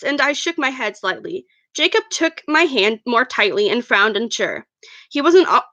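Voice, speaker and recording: A woman's voice: high-pitched, fairly bright tone, no room sound, highs cut off. A woman reading her own fan fiction aloud as first-person narration, an amateur who stumbles over words now and then.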